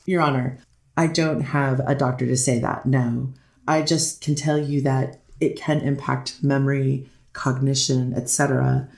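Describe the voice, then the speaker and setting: Someone reading as a hedgy hesitant defense lawyer, courtroom reenactment, lots of fry, echoey room. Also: mid-range voice, flat delivery, darkish tone. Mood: halting, explanatory. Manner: halting, hedging, repetitive. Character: hesitant, deferential defense lawyer pleading to a judge. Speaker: defense attorney. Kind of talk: courtroom transcript readers theater. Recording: roomy, echoing voice recording.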